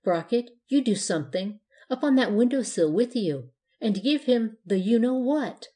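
A woman's voice: a little high, lively intonation, moderate pace, dark tone, lightly acted. A woman voicing a young witch girl in a storybook, sweet-spoken but determined. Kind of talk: storybook character voice in narration.

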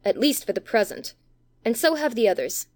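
A man voicing a practical, unwilling young boy in a storybook reading, brisk and complaining. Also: very high voice, very animated, darkish tone, dry recording, studio quality, voice pushed up. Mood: grumbling.

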